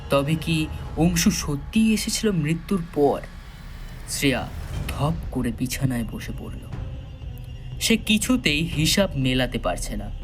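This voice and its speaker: A man narrating a scripted story solemnly and dramatically, with measured pauses. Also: mid-range voice.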